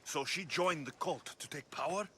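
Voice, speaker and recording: A man playing a gruff, hot-tempered, sardonic Greek mercenary with a Greek accent in a video game cutscene, speaking bluntly. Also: fairly high pitch, moderate pace, slight background noise. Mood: urgent. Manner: forceful, blunt.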